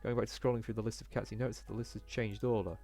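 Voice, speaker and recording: A man with a fairly low voice narrates a visual novel as he plays it. He reads the text aloud with the odd stumble, and faint game music runs underneath. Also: flat delivery, very fast, creaky, mellow. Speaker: let's player reading a game aloud.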